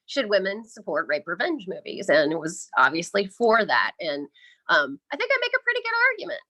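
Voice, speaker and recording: A woman from the American Midwest talks as a podcast interview guest. Her voice is bright and expressive, moving freely in pitch, and she speaks in a candid, chatty, casual way. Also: brisk.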